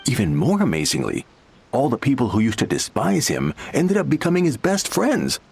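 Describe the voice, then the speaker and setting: A smug, gossipy client. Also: male voice, medium pitch, huge pitch swings, normal speed, gravelly, darkish tone, a little hiss or hum. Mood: confiding. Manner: smug, confiding. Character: smug male client, gossipy and sure of himself. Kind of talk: game voice acting.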